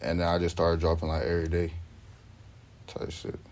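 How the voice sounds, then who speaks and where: A man's voice: low-pitched, monotone, normal speed, a little mellow. A young man from Sweden, a rapper, chatting in a laid-back, slangy way as the interview guest. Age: young adult. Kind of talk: casual interview chat.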